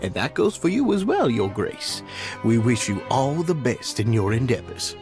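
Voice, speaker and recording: A man's voice: mid-range voice, big pitch swings, normal speed, over music, close to an everyday voice. An actor playing a polite, formal male society official in a video game. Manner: courteous, formal.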